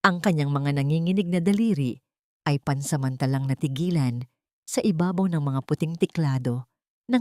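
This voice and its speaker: Filipino female storyteller, lowish register, solemn dramatic narration, very dry recording. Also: darkish tone, no room sound.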